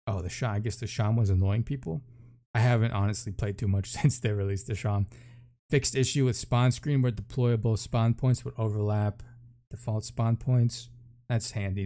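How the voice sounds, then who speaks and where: A man's voice: on the low side, mellow. A man giving casual, offhand first-look commentary on a video game, thinking aloud as he goes. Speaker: gaming YouTuber.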